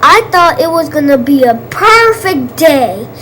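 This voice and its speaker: A woman's voice: high-pitched, very animated, a little slow, bright, forceful tone, a little hiss or hum. A woman co-reading a children's story, echoing phrases and chiming in with playful comments. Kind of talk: playful interjections during a read-aloud.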